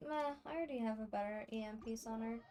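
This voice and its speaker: A woman's voice: on the high side, fast talker, mellow, slight background noise. A woman livestreamer giving casual, chatty gameplay commentary to her viewers, speaking off the cuff. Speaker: game livestreamer.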